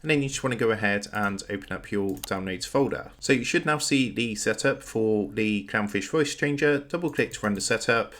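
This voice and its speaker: A man narrating a step-by-step software tutorial as its host. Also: lowish voice, a little fast, hardly any pauses.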